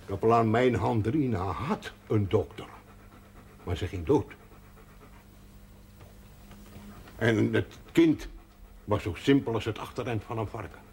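An actor playing a stubborn, proud country folk healer, devout and earthy, blunt and stammering when pressed, in a television drama. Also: male voice, lowish voice, normal speed, gravelly, darkish tone. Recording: noisy old television soundtrack.

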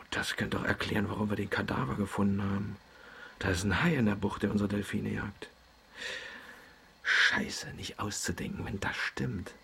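An actor playing a grumbling, excitable middle-aged father in a German radio drama, often muttering to himself. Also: on the low side, creaky, breathy edge, heavily acted. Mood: alarmed.

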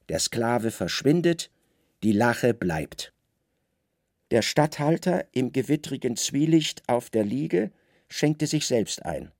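A man tells a literary story as a radio drama narrator. His delivery is theatrical and lively, with wide swings in pitch. The voice has a creaky edge and sits in a very dry recording. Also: dry recording.

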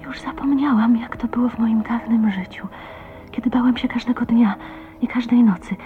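An actress playing a shy, dreamy woman of twenty-nine in love, tender and wistful, often hushed, in a radio drama. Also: fairly high pitch, fairly even intonation, moderate pace, phone-quality sound, close to an everyday voice. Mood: reflective, hushed. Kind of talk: Polish radio drama acting with inner monologue. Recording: radio play with music behind. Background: music.

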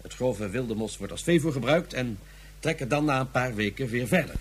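A man's voice: mid-range voice, expressive, moderate pace, slight background noise, natural voice. A man playing a pompous federation inspector. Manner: bureaucratic, pompous. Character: officious male federation police inspector. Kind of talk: radio drama acting.

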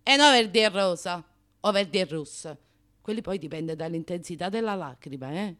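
A woman's voice: fairly low pitch, animated, normal speed, fairly bright tone. An actress playing a flamboyant, passionate, self-mocking Neapolitan woman in a radio monologue, with a confiding, emphatic and colloquial manner and a Neapolitan accent. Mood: wry, exasperated. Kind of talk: radio drama comic monologue.

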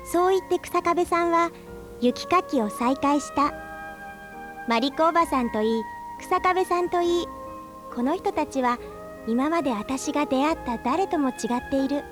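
An actress voicing a quiet, reflective young woman who narrates her own thoughts in a radio drama. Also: sits high, normal speed, close to an everyday voice. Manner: soft-spoken, reflective inner narration. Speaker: narrator and lead character. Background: music.

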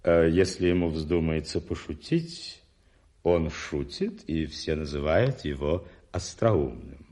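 Very deep, dry club gentleman. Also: slow. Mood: wry. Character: wry, unhurried upper-class club gentleman. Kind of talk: 1980s Soviet radio play acting.

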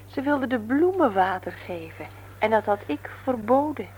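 An actress plays a brisk housewife and mother in an old radio drama. Her voice is on the high side with a chiding manner, over faint hum. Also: expressive, a little mellow, close to an everyday voice. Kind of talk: Dutch radio play acting.

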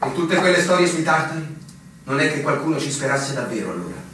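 A man's voice: on the high side, sing-song, a little fast. A young man playing an earnest, frustrated army lieutenant in a radio drama, speaking with formal, urgent pleading. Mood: agitated, wounded. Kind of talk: stage-style radio drama acting. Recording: very echoey, distant, faint noise.

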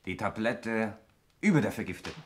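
A man playing a flippant young-gentleman gambler type in a vintage radio whodunit. His voice is fairly low, wry and nonchalant, on a slightly noisy recording. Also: expressive, normal speed, natural voice. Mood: puzzled. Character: flippant gambling nephew, a man among murder suspects. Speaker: actor in a radio play. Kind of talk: 1960s German radio crime drama acting.

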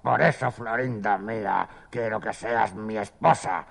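A gallant dog suitor from a children's tale, with a heavily gravelly, low voice. He declaims flattering rhymed verse at a slow, deliberate pace. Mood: amorous, flattering. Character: gallant male German shepherd dog, courting suitor. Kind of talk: children's audio story acting.

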